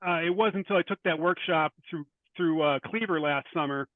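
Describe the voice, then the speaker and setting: Male interview guest, writer. Slightly high voice, close dry sound, thoughtful stop-and-start delivery. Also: no echo, phone-quality sound. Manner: thoughtful, halting, repeats words. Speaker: guest, short fiction writer. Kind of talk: interview conversation.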